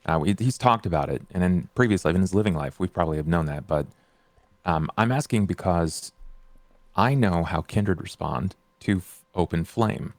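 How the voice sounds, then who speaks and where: A man playing a tabletop game, asking questions in a casual, halting, inquisitive way. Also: sits low, darkish tone, no room sound. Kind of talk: casual out-of-character game talk.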